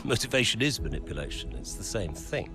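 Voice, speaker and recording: Low, lively calculating team executive. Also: male voice, music in the background. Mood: coolly unapologetic. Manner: deliberate and smug, with thoughtful pauses. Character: calculating, self-satisfied male racing team executive. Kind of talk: documentary-style interview acting.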